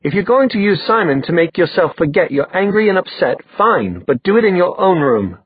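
A man's voice: high-pitched, sing-song, fairly quick, husky, pitched above the performer's own voice, heavily acted. A man voicing a blunt, scolding young man in a story, tired and disapproving. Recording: muffled, narrow phone-like audio.

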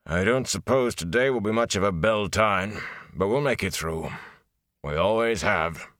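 A man plays a tired, heavy-set middle-aged blacksmith from a small village, speaking in a very deep, dry voice. His words come slow and deliberate, gruff but reassuring, as in a storybook reading. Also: fairly bright tone, no echo, lightly acted. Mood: weary, steadying. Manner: gruff comfort to a younger man.

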